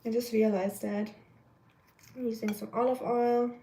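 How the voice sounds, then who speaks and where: A young woman with a fairly high, slightly nasal voice chats casually as she cooks on a live stream. She rambles along with plenty of fillers and talks to her viewers as she goes. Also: little pitch movement, moderate pace, dark tone. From Germany. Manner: chatty, rambling, many fillers, reads chat comments aloud. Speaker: vlogger cooking on a live stream. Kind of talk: casual live-stream chat while cooking.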